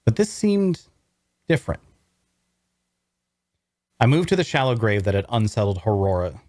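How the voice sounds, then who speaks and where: A man playing a brooding adventurer, narrating his grim journey in a measured, deliberate way at a scripted table read. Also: fairly low pitch, sing-song, a little mellow, no room sound, studio quality.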